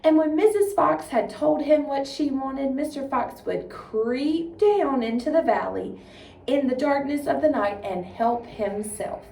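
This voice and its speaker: A woman presenting in an instructive, expressive way, as for a tutorial or a storybook reading. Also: high voice, unhurried, creaky. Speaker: 4-H agent, presenter. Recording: roomy echo, distant microphone.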